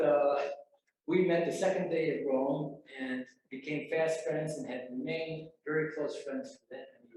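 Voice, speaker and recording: A man's voice: on the high side, a little hiss or hum. A man in his seventies, an alumnus photographer giving a chatty, self-deprecating speech to an audience at a university event. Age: 70s. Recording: very echoey hall, mic far off.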